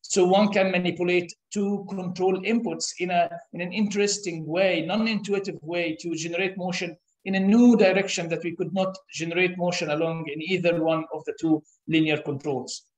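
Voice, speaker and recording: Egyptian-born professor, 30s, second-language English, slightly high voice, thoughtful, halting; room echo. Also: male voice, steady tone. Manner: thoughtful, with frequent "uh" pauses and restarts. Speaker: interview guest, professor. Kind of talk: explanatory interview answers. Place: conference room. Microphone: camera across a table. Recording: echoey meeting room.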